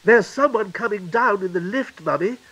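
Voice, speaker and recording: A man's voice: sits high, lively intonation, moderate pace, fairly bright tone, breathy edge, muffled recording, pitched above the performer's own voice, full character voice. A man voicing an earnest small child talking to a parent in a story. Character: small child insisting to mother about a stranger.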